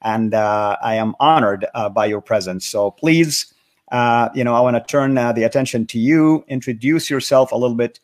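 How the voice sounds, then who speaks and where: A man's voice: medium pitch, normal speed. A man hosting an interview, introducing his guest politely and encouraging her, with many "uh" fillers. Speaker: interview host.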